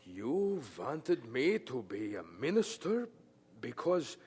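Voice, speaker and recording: Radio drama role: devout, defiant young son, pleading, slightly high lively voice with a rough edge. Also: male voice, slow, heavily acted. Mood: taken aback. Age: young adult. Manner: pleading, insistent questioning.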